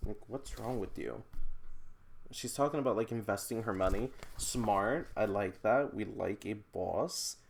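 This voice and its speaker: A man with a fairly low voice talks as a book vlogger. His delivery is casual and conversational, full of slangy asides and playful disbelief at what he is reading. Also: unhurried, vocal fry, slight background noise. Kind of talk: casual reaction read-along chat.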